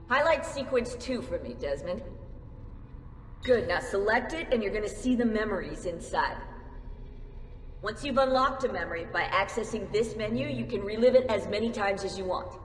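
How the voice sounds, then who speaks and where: An actress playing a brisk, caring young technician giving tutorial instructions in a video game. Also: female voice, medium pitch, close to an everyday voice. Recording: roomy reverberant hall, background noise.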